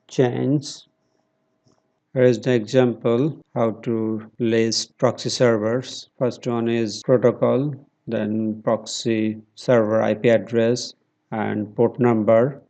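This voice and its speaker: Slow, steady man walking through a tutorial. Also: mid-range voice. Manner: slow, step-by-step instructions with pauses and fillers. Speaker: tutorial presenter. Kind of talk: screen-recorded tech tutorial.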